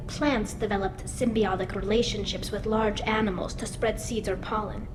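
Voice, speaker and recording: Woman as an earnest alien engineer amid rumble. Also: close to an everyday voice. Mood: explaining, earnest. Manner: earnest, chatty explaining, self-deprecating. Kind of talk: video game dialogue.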